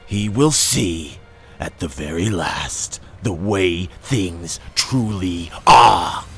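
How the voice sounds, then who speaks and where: Deep, slow, dramatic male narrator. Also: lively intonation, a bit bright, husky. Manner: grave, theatrical, deliberate. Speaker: narrator reading a novel excerpt. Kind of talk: dramatic scripted narration in second person. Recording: dramatic music under the voice. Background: cinematic soundtrack music.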